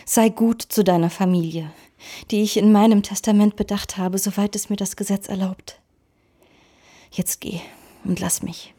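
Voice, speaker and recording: An actress playing a dying, remorseful, God-fearing woman in a radio drama, weak and solemn, her breathy voice often falling to a whisper. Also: mid-range voice, fairly even intonation, normal speed, mellow. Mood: dying, pleading.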